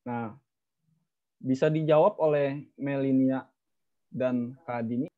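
A man's voice: medium pitch, steady tone, takes it slowly. A young man casually moderating a student webinar on a video call, chatty and teasing. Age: young adult. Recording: dull lo-fi call audio.

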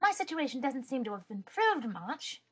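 A woman's voice: sits high, very animated, moderate pace, slightly rough, heavily acted. A woman voicing a polite, naive young policewoman in a parody cartoon. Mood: resigned. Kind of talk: fan-dub parody cartoon acting.

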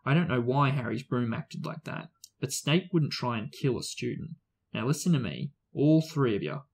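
A man doing a huge, gruff gamekeeper, stubborn and loyal, with a husky, clipped way of talking that sounds like a storybook reading. He scolds and insists without budging. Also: mid-range voice, expressive, moderate pace. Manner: gruff, dropped endings like "ya".

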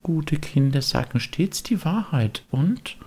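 A man voicing a gentle, motherly fairy, kind but admonishing, in a measured audiobook character voice. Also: fairly high pitch, lively intonation, husky, pitched above the performer's own voice, heavily acted. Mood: gently instructive.

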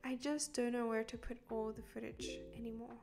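A woman's voice: fairly high pitch, fairly even intonation, a little fast, over loud music. A woman in her twenties chatting casually as an easily amused reaction host, close to a desk microphone. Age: 20s. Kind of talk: casual chat reacting to a video. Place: small indoor room.

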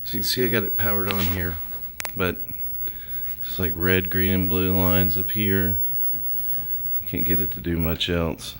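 A man's voice: sits low, level tone, a little slow. An American man giving casual, folksy vlog commentary as the host of his own video. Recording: clear background noise.

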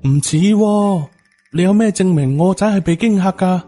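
A man's voice: sits high, moderate pace, dark tone, pitched above the performer's own voice. A wary young village mother , played by a male narrator, speaks in a raised voice with a Hong Kong Cantonese accent. She sounds suspicious and questioning, a character from a storybook ghost tale with music behind.

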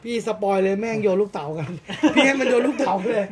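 A man's voice: high-pitched, fairly quick, a crowd in the background. A man hosting from his card shop, teasing the players in casual banter. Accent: Thai. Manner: teasing, coarse joking. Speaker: shop owner and channel host. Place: comic and card shop, indoors. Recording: noisy shop background.

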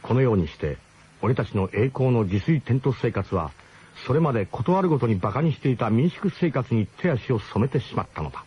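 A man's voice: medium pitch, big pitch swings, a little fast, darkish tone, breathy edge. A man narrating a radio drama in the first person, in a colloquial, wryly literary storytelling manner. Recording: dull, slightly noisy old radio broadcast.

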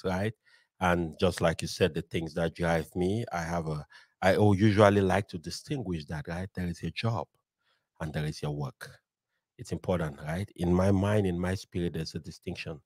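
Cameroonian-born man in his forties, animated speaker. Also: low-pitched, dry recording. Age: 40s. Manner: animated, preacher-like build-ups, frequent "right?". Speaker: podcast guest, motivational speaker. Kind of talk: energetic motivational storytelling. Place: bookshelf-lined podcast studio. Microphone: studio mic on boom arm.

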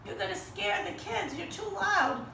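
A woman's voice: extremely high pitch, big pitch swings, moderate pace, vocal fry, exaggerated voice. A woman voicing a frank, bossy girl puppet in a children's show, with a didactic, blunt, exasperated manner. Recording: roomy, distant mic, faint noise.

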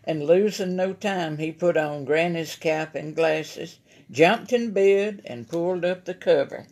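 A woman's voice: fairly low pitch, measured pace, a little mellow. A woman with a Southern American accent reading a children's story aloud as narration. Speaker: library story reader. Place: library room, indoors at a table.

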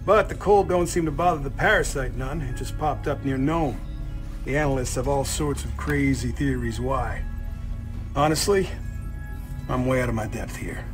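A man's voice: mid-range voice, moderate pace, lightly acted. A man playing a plain-spoken, middle-aged Marine in a video game. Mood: wry, resolute. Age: 40s. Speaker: video game character, military operative. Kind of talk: cinematic game acting. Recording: music behind the voice.

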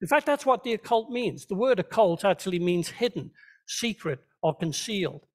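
A man's voice: sits high, expressive, normal speed. A man preaching a sermon as a pastor, teaching conversationally and checking in with his listeners. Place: church, speaking to a congregation.

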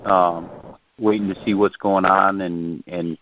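A male caller from Ohio talking casually and haltingly over the phone. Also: low voice, level tone. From Ohio, United States. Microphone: telephone. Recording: muffled noisy phone line.

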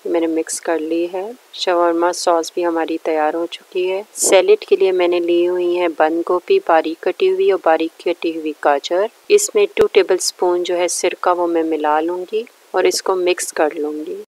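A woman's voice: fairly low pitch, flat delivery, moderate pace, few pauses, darkish tone, no room sound, rough recording. A woman narrating a recipe step by step in a plain, instructional way. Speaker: cooking show host.

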